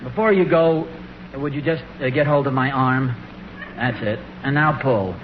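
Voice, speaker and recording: A man's voice: medium pitch, normal speed, close to an everyday voice. An actor playing an earnest, hesitant suburban American dad in an old-time radio family comedy, eager to impress his sons. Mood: flustered. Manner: hesitant, mild, stammering. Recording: muffled, noisy old radio broadcast recording.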